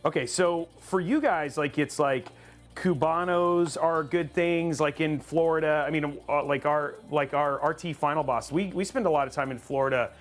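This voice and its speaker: A man's voice: a little high, normal speed, soft background music. A man hosting a live stream, chatting casually and rambling upbeat with lots of fillers and restarts. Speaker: host of a live PC-building stream.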